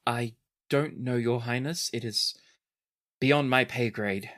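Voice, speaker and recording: A woman's voice: rumbling low, takes it slowly, a bit bright, heavily acted. A woman voicing a nervous, apologetic military clerk in a tabletop game, hesitant and formal. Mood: nervous, evasive.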